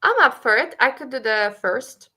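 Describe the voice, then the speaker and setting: A woman's voice: on the high side, expressive, moderate pace, sharp tone. A woman co-hosting a book club discussion over a video call, talking casually and thinking aloud with lots of fillers.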